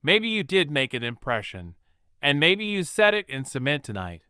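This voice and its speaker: A man's voice: on the high side, sing-song, normal speed, sharp tone, clean tone, no echo, studio quality. A man doing a tough, wounded teenage girl. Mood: strained, wry. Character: tough teenage girl, hurt but determined.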